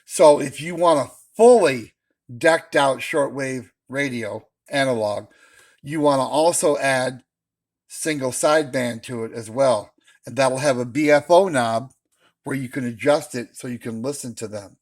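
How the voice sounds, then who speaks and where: A man's voice: mid-range voice, unhurried. A man talking casually and instructively as a hobbyist host, opinionated and emphatic, repeating his key points. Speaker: radio hobbyist host giving buying advice.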